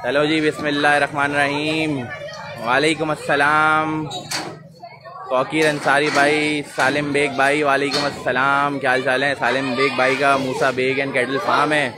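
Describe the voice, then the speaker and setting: A man's voice: on the high side, monotone, normal speed. A man hosting a live stream outdoors, greeting viewers by name as he talks through the scene. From Pakistan. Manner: chatty, greets viewers by name, repeats mashallah. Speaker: livestream host. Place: city street outdoors. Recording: busy street noise and crowd chatter.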